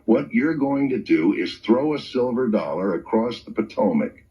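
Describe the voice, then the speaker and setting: An actor playing a pushy, image-minded campaign manager, brisk and insistent, in an old-time radio comedy sketch. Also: male voice, mid-range voice, expressive, husky. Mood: persuasive. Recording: dull lo-fi archive radio recording.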